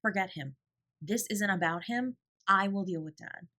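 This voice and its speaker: A woman playing a protective, tender older sibling in an intimate audio roleplay, with a soft, breathy, reassuring delivery. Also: medium pitch, moderate pace, lightly acted. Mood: earnest, reassuring. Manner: gentle, reassuring, lightly teasing.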